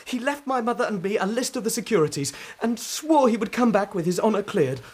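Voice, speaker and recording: An actor playing a frightened, desperate young man in a radio drama, halting, pleading and agitated. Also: high voice, big pitch swings, darkish tone, breathy edge, full character voice. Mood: indignant, distressed. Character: frightened, desperate, high-strung young male suspect.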